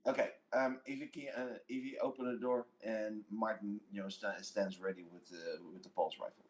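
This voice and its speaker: A man's voice: on the low side, steady tone, moderate pace, rough recording. A man speaking casually and in stops and starts as a player in a tabletop roleplaying game.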